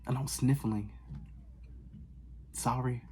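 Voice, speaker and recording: A young man from the Boston area, vlogging in casual, sarcastic rambles that swing from loud outbursts to whispers, recorded on his phone in his bedroom. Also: mid-range voice, fairly even intonation, slow pace, a little hiss or hum. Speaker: fragrance vlogger. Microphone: phone camera.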